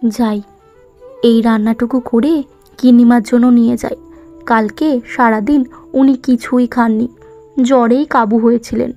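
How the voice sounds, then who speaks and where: A woman's voice: a little high, fairly even intonation, a little slow, pauses often, mellow. A woman playing a dutiful, principled young woman, a servant's daughter, speaking earnestly and respectfully in a cartoon. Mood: tender, concerned. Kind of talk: cartoon moral-story voice acting. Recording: muffled lo-fi audio with music bed.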